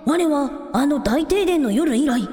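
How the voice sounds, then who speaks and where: Radio drama role: earnest little creature prince, high and swooping, archaic speech, in long reverb. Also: female voice, animated, a little slow, warm tone. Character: childlike non-human prince with old-fashioned speech, earnest, bashful. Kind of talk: Japanese radio drama acting. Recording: echoey reverberant sound with music.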